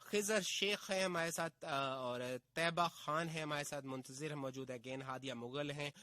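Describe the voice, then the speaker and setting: A man from Pakistan hosting a casual radio show, reading out listener names and messages with a slightly husky on-air sound. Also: on the high side, no echo. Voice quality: sounds hoarse on air though he says his throat is fine. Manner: chatty, warm greetings, drawn-out filler pauses. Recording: FM radio broadcast with faint music bed.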